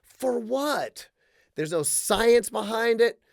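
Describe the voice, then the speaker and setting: A man in his thirties hosting a solo podcast, ranting in an animated, sarcastic way with quick jokes. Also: very high voice, vocal fry, no echo, clean studio sound. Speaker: podcast host. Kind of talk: casual solo podcast rant. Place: small room with wood-plank wall.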